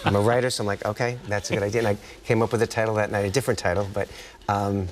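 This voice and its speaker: Very deep-voiced American man, rambling casually. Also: flat delivery, racing, slight background noise. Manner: rambling, trails off mid-thought. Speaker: author, interview guest. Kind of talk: casual interview chat.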